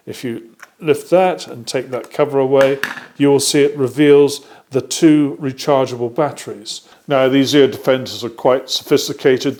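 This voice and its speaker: A British man presenting a product demonstration step by step, explaining methodically and often pausing mid-sentence. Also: medium pitch, darkish tone.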